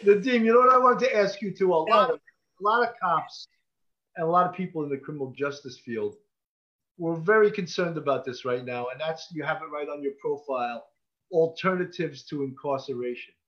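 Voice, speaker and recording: An older police officer in his sixties with a somewhat raised pitch, speaking earnestly and bluntly. The sound is muffled and lo-fi, as over a video call. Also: brisk, bright, forceful tone. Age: 60s. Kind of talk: casual conversation.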